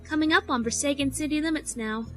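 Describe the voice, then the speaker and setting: An eager young pirate pilot in a space audio drama. She is high, bright and animated, chatty and exclamatory, with faint music behind her. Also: quick pace. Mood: eager, excited. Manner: exclamatory, informal.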